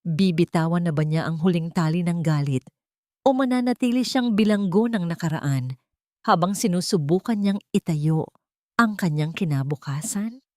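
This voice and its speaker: A woman narrator with a fairly low voice tells a scripted drama. Her delivery is measured and literary, and the recording is dry and close, with little room sound. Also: darkish tone, dry recording.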